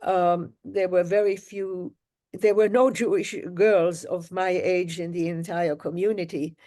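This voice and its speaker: An older woman from Germany, a guest telling her life story in a reflective, measured way with pauses. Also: on the low side, level tone, dark tone. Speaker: guest, Holocaust survivor. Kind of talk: interview storytelling.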